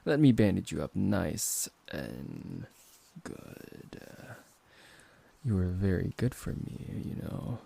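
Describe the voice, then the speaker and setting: A man playing a possessive, seductive vampire professor in an intimate ASMR roleplay, teasing and dominant, with a gravelly, husky voice. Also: low-pitched, full character voice. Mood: soothing, possessive. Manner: slow, hushed, coaxing, condescendingly affectionate.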